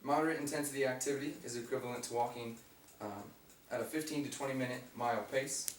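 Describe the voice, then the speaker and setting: A young man with a raspy voice giving an informative class speech, reading from notes with fillers and restarts. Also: medium pitch, quick pace. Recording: echoey room, mic far off, faint hum.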